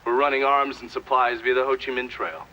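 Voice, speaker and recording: An actor playing a cocky former spy giving testimony in a video game, blunt, dry and unbothered. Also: male voice, medium pitch, fairly quick, sharp tone, dull audio, a little hiss or hum, natural voice. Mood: dry, assured. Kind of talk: video game documentary-style testimony acting.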